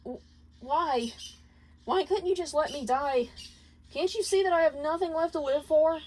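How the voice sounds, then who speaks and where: A high, tearful male imp played by a woman pleads and accuses in stammering bursts. He sounds despairing and wounded, like a figure in a dramatic fan story read aloud. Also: a little slow, darkish tone. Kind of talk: fanfiction reading.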